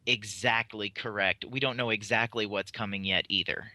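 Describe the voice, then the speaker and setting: A man on a podcast panel giving opinions in an emphatic, measured way, with rhetorical questions. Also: on the low side, fairly even intonation, sharp tone, no room sound.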